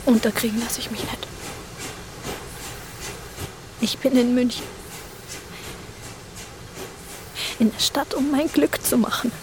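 An actress playing a young woman in a radio play who waits obsessively for her lover, breathy, yearning and defiant, repeating her phrases. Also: sits high, background noise, natural voice. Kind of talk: intimate radio play monologue.

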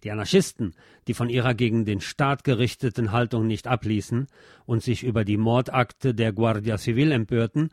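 A man reading a scripted history narration as narrator, in a measured documentary manner. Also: medium pitch, fairly even intonation, a little mellow, no room sound, clean studio sound.

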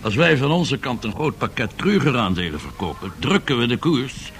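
Lively, shrewd scheming financier. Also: male voice, medium pitch, normal speed, slight background noise. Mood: calculating. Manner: calculating, conversational. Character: shrewd, probing male financier and co-conspirator. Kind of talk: 1970s Dutch radio drama acting.

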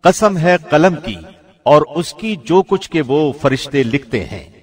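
A man reading a scripture translation from a script in a formal, measured radio-announcer manner. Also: a little high, lively intonation, a little mellow, slightly rough. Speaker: translation reader, radio presenter.

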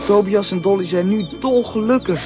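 A woman voicing a calm, sensible young boy in a children's radio play, reassuring. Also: mid-range voice, moderate pace, soft background music, close to an everyday voice. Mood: soothing.

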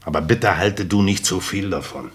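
An actor playing a cautious, mild small-town mayor in a German radio play, hesitant and understated, with a husky voice. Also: male voice, low-pitched, normal speed. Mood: uneasy.

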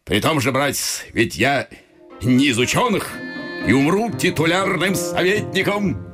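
A man's voice: fairly high pitch, lively intonation, measured pace. A man playing a talkative, touchy old retired officer turned provincial clerk in a Russian radio vaudeville, theatrical and effusive, with folksy old-fashioned turns of speech. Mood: nostalgic, boastful. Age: older. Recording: stage radio play with faint music.

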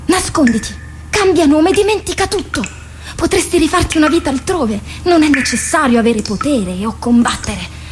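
A woman's voice: high voice, expressive, normal speed. An actress playing a protective, jealous dead woman in a radio drama, pleading and reproachful, with intense theatrical delivery. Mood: urgent, reproachful. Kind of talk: Italian radio drama acting. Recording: clearly noisy radio drama recording.

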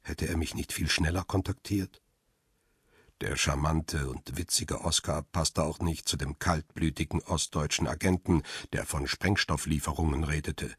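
Male narrator, very low pitch, husky, dry booth sound, introspective. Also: no room sound, exaggerated voice. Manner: quiet, introspective. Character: middle-aged photographer, uneasy and reflective. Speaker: narrator and protagonist. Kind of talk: first-person radio drama narration.

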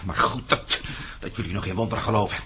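An actor in a radio play playing a defiant, hot-tempered captive hero, gruff and urgent in his delivery. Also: on the low side, quick pace, lightly acted. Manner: gruff, urgent, swinging from muttering to outbursts. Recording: noisy radio play recording.

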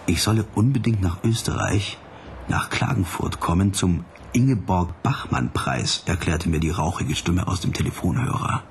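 A man's voice: low voice, expressive, normal speed, slight background noise. An actor playing a jaded, self-important male pop detective who recalls old cases in dry, wry radio play narration. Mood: matter-of-fact recounting. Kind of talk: hard-boiled first-person radio play narration.

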